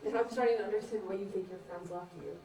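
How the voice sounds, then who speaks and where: A woman's voice: medium pitch, steady tone, fast talker, a loud crowd, close to an everyday voice. A young woman acting a blunt, sardonic character in a student stage play. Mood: confrontational. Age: young adult. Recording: echoey room, far mic, faint noise.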